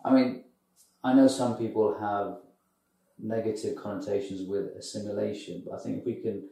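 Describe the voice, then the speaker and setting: A man co-hosting a podcast, talking in a reflective, halting conversational way with many pauses. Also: lowish voice, little pitch movement, creaky, dark tone. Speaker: podcast co-host. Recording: echoey room, distant from mic.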